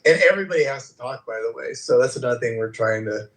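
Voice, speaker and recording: A man's voice: medium pitch, fast. A man hosting a live audio chat room, talking loosely and rambling with many fillers. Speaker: room host and moderator.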